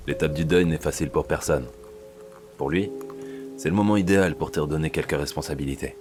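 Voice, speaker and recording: Man acting a very deep-voiced, measured police boss. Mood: reassuring, concerned. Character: paternal male police boss briefing his agent. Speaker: police superior. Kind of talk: French audio drama thriller acting. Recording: background music under the voice.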